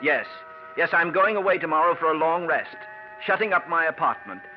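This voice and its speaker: An actor playing a scheming, bitter stage actor in an old radio drama, speaking with measured, falsely polite menace. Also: male voice, fairly high pitch, expressive, faint music behind, lightly acted. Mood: weary, tense. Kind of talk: 1940s-50s radio drama acting. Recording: muffled, noisy vintage radio recording.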